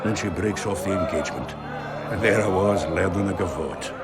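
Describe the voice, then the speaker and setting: An actor playing a plain-spoken dwarf merchant in a video game, earthy, wry and grumbling. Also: male voice, deep voice, normal speed, close to an everyday voice. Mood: grumbling, resigned. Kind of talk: video game cutscene acting. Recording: loud music behind the voice.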